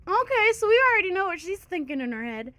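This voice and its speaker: Bubbly high-voiced young woman, stuffy nose. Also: big pitch swings, quick pace, pushed and bright, dry recording. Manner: bubbly, laughing, chatty. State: congested from a cold. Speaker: gaming YouTuber. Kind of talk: casual let's-play commentary. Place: bedroom.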